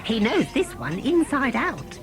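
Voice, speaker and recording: A woman's voice: sits high, sing-song, measured pace, heavily acted. Woman acting a chatty old lady in an audio play, fussy, voice goes right up and down, music behind. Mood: fond, wistful. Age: older. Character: chatty, fussy elderly wife on a train trip.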